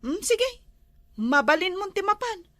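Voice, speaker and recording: Woman doing a young village girl, high bright voice, bubbly and gullible. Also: very animated, unhurried, dry recording, exaggerated voice. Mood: chatty.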